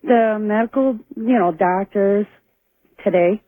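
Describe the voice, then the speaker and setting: A woman's voice: mid-range voice, slow. An American woman calling in to a radio show, chatting casually. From Montana, United States. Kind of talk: casual call-in chat. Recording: thin dry phone line on radio.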